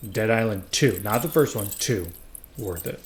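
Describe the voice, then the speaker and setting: A man chatting loosely while he plays a video game, his voice sitting low and relaxed. He wanders from thought to thought with plenty of fillers. A faint hiss runs under the recording. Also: normal speed. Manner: rambling, chatty, lots of fillers. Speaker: gameplay commentator. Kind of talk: casual let's-play commentary.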